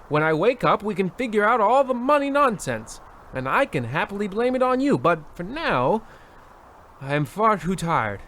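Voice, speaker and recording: A man's voice: high-pitched, fairly bright tone, a little hiss or hum, full character voice. An actor playing a pompous, overwrought husband half out of his wits, theatrical and florid, in a comedic old-time radio play.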